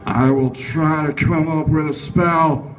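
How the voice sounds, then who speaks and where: A man playing a scheming, gleefully mad young factory worker in theatrical, drawn-out comic horror storytelling. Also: fairly high pitch, steady tone, faint music behind. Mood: defiant, scheming.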